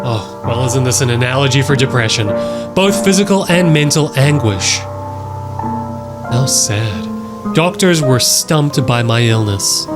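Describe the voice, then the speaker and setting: A man's voice: mid-range voice, animated, unhurried. A man reading text aloud and adding casual, wry commentary as a horror reaction host. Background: music behind the voice.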